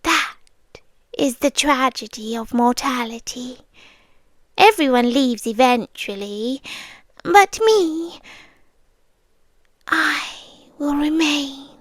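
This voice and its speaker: A British woman playing a possessive, lovesick vampire girlfriend in an intimate ASMR roleplay, speaking softly and breathily, often slipping into whispers, with a coaxing, lingering delivery. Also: high-pitched, expressive, slow, pauses often, no room sound. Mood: possessive devotion. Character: possessive, lovesick immortal vampire girlfriend, tender yet menacing.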